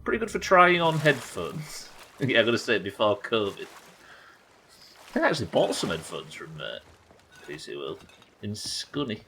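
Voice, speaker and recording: Low-voiced, animated English man streaming games. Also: measured pace, long pauses, slight background noise. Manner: sweary, jokey, reads chat aloud. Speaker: live game streamer. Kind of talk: casual gaming commentary with chat banter. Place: indoors at a desk.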